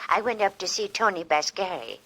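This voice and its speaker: An actress playing a meddlesome, excitable and prim elderly lady amateur sleuth in a 1940s radio drama. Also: mid-range voice, a little fast, slight background noise. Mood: pleased with herself. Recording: vintage 1940s radio recording.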